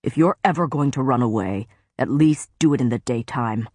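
A woman voicing a gruff male faerie lord. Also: moderate pace, a little mellow, no room sound, clean studio sound. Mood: stern. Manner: low, clipped and scornful.

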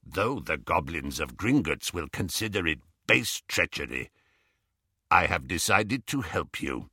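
A man voicing a stern, proud, easily angered goblin in an audiobook, speaking in a firm, deliberate, formal way. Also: sits low, sing-song, sharp tone, husky, no room sound, clean studio sound, pitched below the performer's own voice, heavily acted. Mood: cold, firm. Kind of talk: audiobook character voice.

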